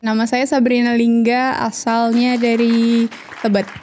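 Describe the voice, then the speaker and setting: A woman answering a quick quiz question, unsure and hesitant. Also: on the high side, flat delivery, darkish tone, slight background noise. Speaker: interviewee.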